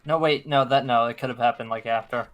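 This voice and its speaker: A man's voice: mid-range voice, steady tone, fast talker, a bit bright. A man chatting casually as a friend on a game stream. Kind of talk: casual voice chat.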